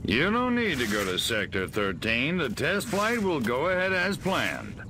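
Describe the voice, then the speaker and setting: A man playing an evasive, smug government official in a game cutscene, speaking in a measured way. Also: mid-range voice, wildly swooping pitch, noisy background. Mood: coolly assured. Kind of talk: video game cutscene acting.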